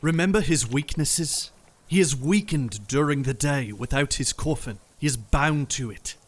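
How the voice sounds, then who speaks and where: An actor playing a sharp-minded male vampire hunter who reasons emphatically in an audio drama. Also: medium pitch, animated, measured pace, breathy edge. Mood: reasoning. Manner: analytical and emphatic.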